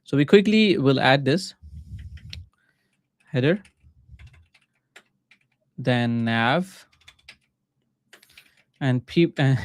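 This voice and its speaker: A man from Pakistan with a Pakistani accent and Urdu as his first language, casually thinking aloud in short halting phrases as he live-streams coding. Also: mid-range voice, darkish tone, clean tone, no echo. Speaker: livestreaming coder and mentor.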